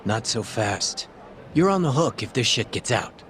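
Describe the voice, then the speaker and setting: A cocky dirty detective in a game cutscene, sly and wry. His middle-pitched voice swings freely as he teases, with faint noise behind him. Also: big pitch swings, measured pace, fairly bright tone. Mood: sly, conspiratorial. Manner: breezy, cocky, wry, teasing. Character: smug, sly corrupt male police detective selling information. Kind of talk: English video game dub cutscene acting.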